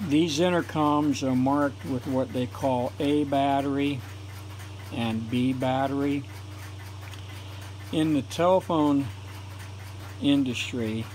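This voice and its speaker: A man talks slowly and plainly, walking through technical details the way an old installer would. His voice sits at an ordinary middle pitch. A faint hiss of room noise stays underneath from a camera mic in a home workshop. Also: a little mellow, rough recording. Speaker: retired telephone installer demonstrating equipment. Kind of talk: technical explanation voice-over for a hobby video.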